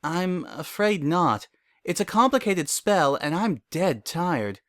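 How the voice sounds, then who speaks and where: A man's voice: on the high side, big pitch swings, takes it slowly, a bit bright, no room sound, clean studio sound, voice pushed up, heavily acted. A man voicing an anxious, bookish female unicorn in a dramatic story reading, weary and earnest. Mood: tired, matter-of-fact. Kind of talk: dramatic fan fiction reading.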